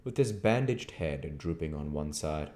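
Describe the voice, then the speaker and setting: A man's voice: extremely low pitch, animated, unhurried, darkish tone. A man reading a novel aloud as an amateur storybook narrator. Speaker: audiobook reader.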